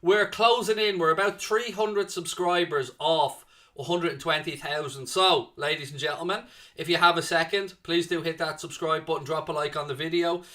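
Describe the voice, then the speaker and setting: Young South Dublin male stream host, bright, chatty, casual with the chat. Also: a little high, steady tone, normal speed. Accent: South Dublin Irish. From Ireland. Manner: chatty, jokey, answers chat with "mate" and "man". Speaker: host of a football watchalong stream. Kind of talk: casual live-stream chat reading viewer comments.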